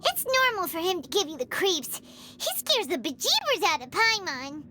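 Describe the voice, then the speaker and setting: A squeaky tiny fairy sidekick. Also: female voice, normal speed, slight background noise, heavily acted. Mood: worried. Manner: squeaky, animated. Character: tiny floating female fairy companion, chatty. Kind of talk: video game cutscene dialogue.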